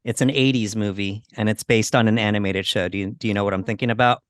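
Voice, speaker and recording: A man in his thirties or forties co-hosting a casual movie discussion, with measured, slightly hesitant phrasing. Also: fairly low pitch, fairly even intonation, dry recording, studio quality. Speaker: podcast co-host.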